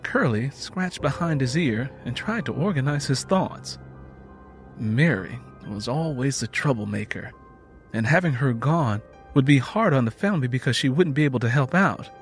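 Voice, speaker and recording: A man's voice: medium pitch, animated, moderate pace, faint music behind. A man narrating scripted fiction in a dramatic storytelling style.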